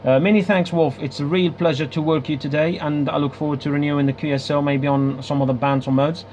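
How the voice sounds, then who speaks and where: A man's voice: fairly high pitch, level tone, quick pace, a little mellow, a little hiss or hum. A man chatting casually as an amateur radio operator at a club station, with hesitant, repetitive phrasing. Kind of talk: casual ham radio contact chat.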